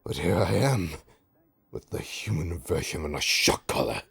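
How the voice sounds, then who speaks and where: A man playing a bitter, taunting supervillain in an audio roleplay, with a raspy, gravelly voice and a sardonic, theatrical, halting delivery. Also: sits low, lively intonation, a bit bright, heavily acted. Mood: bitter, defensive. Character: menacing, taunting male supervillain, bitter, grudgingly rehabilitating. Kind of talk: scripted audio roleplay drama.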